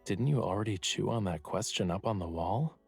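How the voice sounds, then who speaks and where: Low-voiced, teasing young man. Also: a little fast, vocal fry, a little mellow, husky. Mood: calm, casual. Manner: casual, playfully teasing. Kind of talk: voice acting in a romance game. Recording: music behind the voice.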